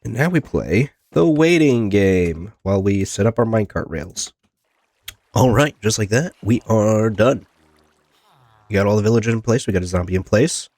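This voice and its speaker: A man with a lowish voice hosts a casual gaming video. His nose sounds plugged from a lingering cold. He rambles along, talking half to himself and half to the game, in a very dry recording. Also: animated, normal speed, a little mellow, dry recording. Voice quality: congested, stuffy-nosed. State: getting over a sickness, plugged nose.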